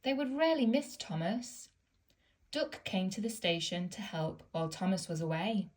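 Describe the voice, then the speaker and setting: A woman reading a children's picture book aloud in a gentle, expressive storytelling manner. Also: medium pitch, moderate pace.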